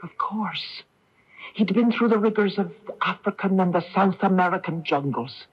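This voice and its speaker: Radio drama female lead: loyal, determined, earnest pleader, slightly rough voice, muffled vintage broadcast. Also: on the low side, normal speed. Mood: urgent, insistent. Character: devoted, pleading, determined female friend of a prisoner. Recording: dull, muffled 1980s AM radio recording.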